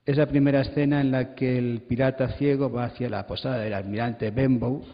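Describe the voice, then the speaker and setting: Echoey muffled hall, man, medium pitch, wry theatrical storytelling, trailing phrases. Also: steady tone, a little fast. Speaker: radio drama panelist. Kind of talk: storytelling talk on a panel. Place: large hall at a congress. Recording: muffled, long hall reverb.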